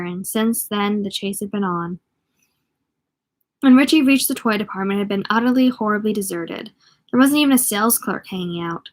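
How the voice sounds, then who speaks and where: A woman reading a novel aloud as an amateur, sometimes tripping over words and going back over phrases. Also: medium pitch. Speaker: amateur audiobook reader.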